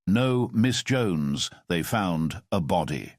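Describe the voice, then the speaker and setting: A man voicing a calm, commanding middle-aged former spy who speaks in a measured, formal way. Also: sits low, no room sound, studio quality, full character voice. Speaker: storyteller. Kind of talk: audio drama storytelling.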